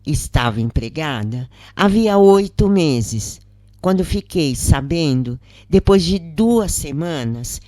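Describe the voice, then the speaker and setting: A woman with a Brazilian accent reading a scripted first-person story in a measured, reflective way with deliberate pauses. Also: low voice, dark tone, no room sound. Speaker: narrator reading a first-person spiritual account. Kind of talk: scripted narration, audiobook storytelling.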